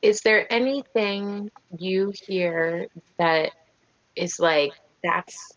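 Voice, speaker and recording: A woman's voice: medium pitch, very slow, a bit bright, no echo, lo-fi sound. A woman playing a tabletop game, thinking aloud tentatively in a casual, questioning way. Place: online group call.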